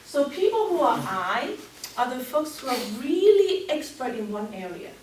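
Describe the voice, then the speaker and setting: A woman's voice: high-pitched, very animated, moderate pace, slight background noise. A woman in her fifties from Hong Kong, with a Cantonese accent, giving a workshop talk and engaging her audience with questions. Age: 50s. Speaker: workshop speaker. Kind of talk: live seminar talk. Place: seminar room. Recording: echoey room, mic at a distance.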